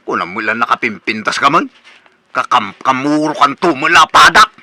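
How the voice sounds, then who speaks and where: A man's voice: mid-range voice, expressive, moderate pace, pushed and bright, no echo. A Filipino man playing an irritable young bachelor son in a comedic radio drama, curt and scolding. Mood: exasperated.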